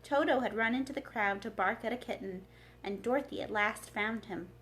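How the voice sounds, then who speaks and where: A woman reading a children's storybook aloud as a librarian, in an expressive narrating style. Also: on the high side, a little fast, poor audio.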